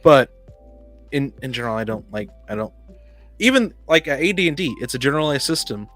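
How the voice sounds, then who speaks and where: A man hosting a casual discussion of tabletop games, talking in a halting, rambling way that often repeats words. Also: mid-range voice, very animated, fairly bright tone, faint music behind.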